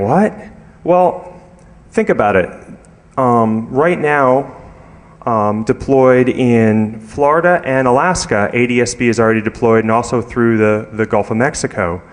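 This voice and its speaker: A man speaking as a conference presenter, giving an informal technical talk in a conversational, halting way with many ums and asides. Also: mid-range voice. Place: large conference hall, addressing an audience. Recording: reverberant hall recording.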